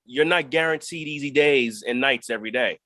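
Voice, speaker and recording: A man of middling pitch gives a reflective interview as a stage actor. He is measured and thoughtful, often pausing inside his sentences. The sound is dry, with no room around it. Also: bright, forceful tone, no room sound.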